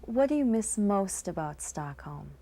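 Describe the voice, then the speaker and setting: A woman's voice: mid-range voice, very animated, unhurried, mellow. A woman interviewer asking short, plain scripted questions.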